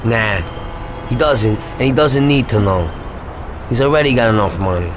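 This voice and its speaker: A man playing an excitable, scheming American gangster. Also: mid-range voice, moderate pace, background noise, close to an everyday voice. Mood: confiding. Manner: streetwise, slangy, informal. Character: excitable, scheming small-time gangster looking for a big score. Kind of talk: video game cutscene acting.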